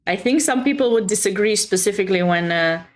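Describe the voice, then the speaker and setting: A woman's voice: medium pitch, animated, a little fast. A woman with a Romanian accent hosting a chess livestream, chatting casually and expressively with viewers between bits of commentary.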